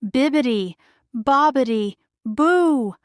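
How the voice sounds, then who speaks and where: A woman's voice: high voice, expressive, takes it slowly, smooth, no echo, clean studio sound, voice pushed up. A woman voicing a kindly, urgent fairy godmother from a storybook, with a motherly, exclamatory manner. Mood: excited.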